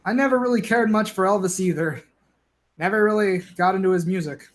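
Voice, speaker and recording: A man's voice: sits high, a little fast, lightly acted. A man playing a down-on-his-luck, bickering but conciliatory neighbor in a stage play, talking in a wry, colloquial way. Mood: amused, friendly.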